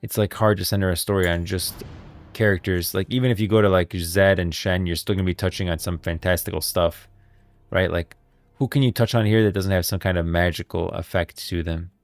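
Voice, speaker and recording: A man's voice: deep voice, steady tone, a little fast, no echo, faint music behind. A man hosting a livestream, talking casually about game lore and rambling as he thinks aloud. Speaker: livestream host.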